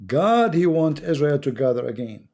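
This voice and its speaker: A man with an Arabic accent, speaking English as a second language, holds forth on his stream into a close microphone. His voice sits somewhat high for a man yet sounds soft and mellow. He delivers in an emphatic, sermon-like way and repeats lines for weight. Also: very animated, moderate pace. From Middle East. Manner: emphatic, preachy, repeats for effect. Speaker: livestream host. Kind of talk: impassioned religious monologue.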